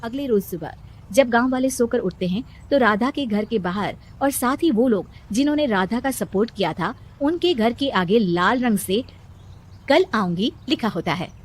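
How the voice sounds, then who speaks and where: A woman's voice: a little high, lively intonation, moderate pace. A woman narrator reading a scripted moral story.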